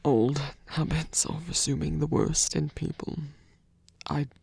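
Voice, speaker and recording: A man playing a wary, self-doubting shapeshifting lover in an intimate ASMR roleplay, speaking haltingly in a breathy voice that often drops toward a whisper. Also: a little high, heavily acted. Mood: apologetic, hesitant. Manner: hesitant, halting, sighing, softly self-deprecating.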